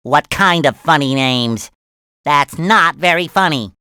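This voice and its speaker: A man voicing an excitable, naive, cheerful young cartoon sea sponge in a video game, with a squeaky nasal voice and eager, childlike earnestness. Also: a little slow, clear, resonant tone, no room sound, studio quality. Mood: earnest. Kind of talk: animated video game voice lines.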